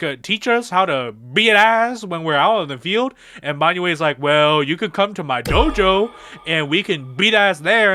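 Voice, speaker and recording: Guy doing rambly fan channel commentary, higher voice, really swoops around, super slangy. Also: dry recording, studio quality. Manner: animated, rambling, slangy, acts out imagined dialogue. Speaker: video creator and channel host. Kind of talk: casual fan commentary monologue.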